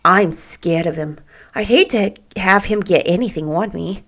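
A woman's voice: fairly low pitch, a little slow, fairly bright tone. A woman voicing a gossipy, worldly young woman in a storybook, confiding and emphatic as she chats. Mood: curious, gossipy.

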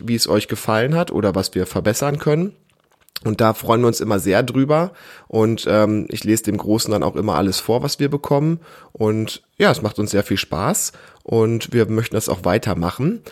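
A man hosting a casual family podcast, chatting in a patient, encouraging way and hesitating as he explains. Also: mid-range voice. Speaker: podcast host.

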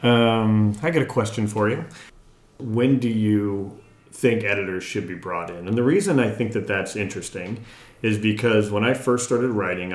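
Low-voiced man chatting in an echoey room. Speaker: writer and podcast co-host. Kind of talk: casual podcast conversation.

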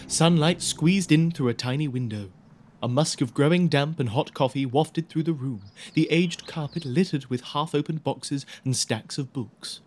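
A man's voice: mid-range voice, darkish tone, a little hiss or hum. A man narrating a spooky audio drama in a theatrical storybook style. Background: music.

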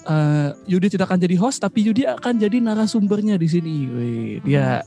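A man with an Indonesian accent and a soft, imperfect R, hosting a casual podcast in a playful, joking, boastful manner. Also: sits high, lively intonation, fairly quick, gravelly, faint music behind. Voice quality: rhotacism, soft R. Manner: playfully boastful, joking, code-switches into English. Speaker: podcast host.

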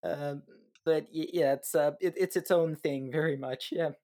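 A woman co-hosting a podcast in casual chat, speaking haltingly with many pauses and fillers. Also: low-pitched, steady tone, warm tone. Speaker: podcast co-host.

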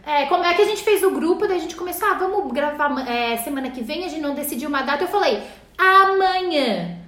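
A woman, co-hosting a casual podcast and telling quick stories. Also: high voice, animated, fairly bright tone. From Florianópolis area, Brazil. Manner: rapid, storytelling. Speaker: podcast co-host. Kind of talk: casual chat among friends. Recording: echoey room.

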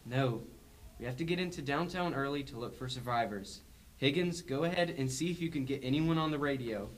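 A man's voice: medium pitch, fairly quick, close to an everyday voice, rough recording. A young man acting as a protective, decisive older brother leading survivors in an amateur zombie radio drama. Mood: bossy, casual. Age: teenager or young adult. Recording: music behind the voice.

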